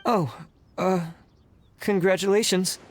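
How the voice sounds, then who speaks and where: A man's voice: sits high, steady tone, slow, slight background noise. A man playing a meek, agreeable junior official in a video game, hesitant and unassertive.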